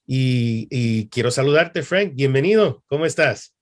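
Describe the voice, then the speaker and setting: Courteous man hosting, medium-pitched voice. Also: animated, unhurried. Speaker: interviewer, pastor and church supervisor. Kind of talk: interview hosting.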